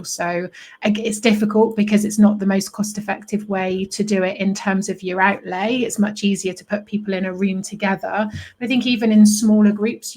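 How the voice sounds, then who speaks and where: Woman panelist on a video call webinar, pretty flat delivery, measured and thoughtful. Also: mid-range voice. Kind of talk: conversational panel answer.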